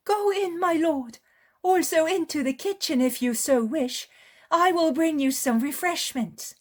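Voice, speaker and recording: A woman's voice: high voice, unhurried, pitched above the performer's own voice. A woman voicing a worn-out, anxious mother from a poor village who pleads and complains in a raised voice. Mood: eager.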